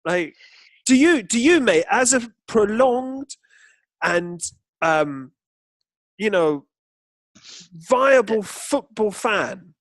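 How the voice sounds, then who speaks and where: A man's voice: high voice, lively intonation, very slow, long pauses, fairly bright tone, no room sound, rough recording. A man co-hosting a football podcast, chatting casually with emphatic, opinionated and sweary banter.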